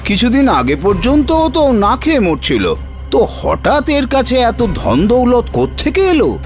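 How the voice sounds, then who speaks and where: A scheming, envious rich elder brother in a cartoon fairy tale, acted by a man. His suspicious delivery swoops dramatically up and down. Soft music plays in the background. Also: extremely high pitch, huge pitch swings, measured pace, full character voice.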